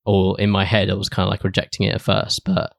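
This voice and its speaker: A man's voice: deep voice, completely flat delivery, fast, vocal fry, no room sound, clean studio sound. A young man hosting a podcast in a casual, informal, somewhat hesitant way, often restarting his thoughts. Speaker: podcast host. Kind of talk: casual chat.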